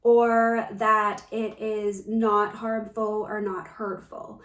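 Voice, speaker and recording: Slow-spoken woman in an echoey room. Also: medium pitch, little pitch movement, a bit bright. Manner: measured, reflective, with pauses. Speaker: spiritual coach. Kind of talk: unscripted spiritual talk to viewers. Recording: roomy echo, distant microphone.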